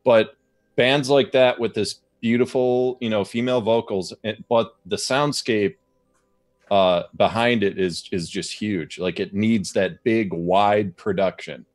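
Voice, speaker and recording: A man on a music show panel giving casual commentary on songs, haltingly thinking aloud as he goes. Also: lowish voice.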